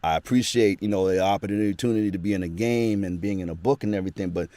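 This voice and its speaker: A man's voice: fairly low pitch, fast, warm tone, dry recording. A man who works as a voice actor, talking earnestly and at length as a guest in a casual interview, recorded in a small home studio. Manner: earnest, rambling storytelling.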